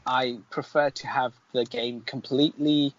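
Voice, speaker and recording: A man's voice: medium pitch, steady tone, measured pace. A man co-hosting a podcast and chatting casually about games. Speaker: podcast co-host. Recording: dull lo-fi sound with faint noise.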